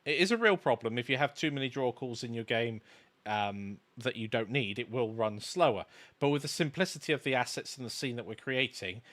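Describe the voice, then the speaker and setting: Bright-voiced man, co-hosting a stream. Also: medium pitch, expressive, a little fast. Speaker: livestream co-host, game development course maker. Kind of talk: casual live stream chat.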